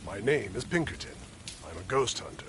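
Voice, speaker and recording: A man's voice: on the low side, expressive, a little fast, background noise, natural voice. A man voicing a fussy, irritable, self-important ghost hunter in a video game, given to exclaiming. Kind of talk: video game character dialogue.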